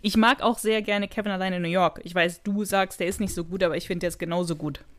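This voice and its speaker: A woman's voice: on the low side, fairly even intonation, fast. Casually chatting woman podcaster. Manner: chatty, informal, loosely strung storytelling. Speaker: podcast co-host. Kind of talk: casual chat.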